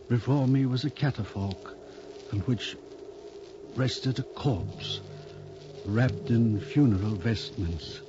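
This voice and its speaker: Guy playing a sad worn-out old-time president, real slow and solemn, noisy old radio drama recording. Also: mid-range voice, highs cut off, natural voice. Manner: slow, halting, solemn delivery. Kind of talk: 1950s radio drama acting.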